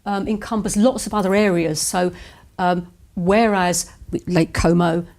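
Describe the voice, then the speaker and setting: Woman, property agency owner, interview answers, measured, businesslike, hesitant fillers. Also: fairly low pitch, fairly even intonation, darkish tone.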